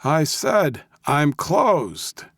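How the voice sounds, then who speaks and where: A crabby old man, pitched well above the reader's natural voice. He is gruff, defensive and blustering, a weary storybook grouch who wants to be left alone. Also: big pitch swings, very slow, fairly bright tone, no room sound, clean studio sound, full character voice. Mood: angry, blustering. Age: older.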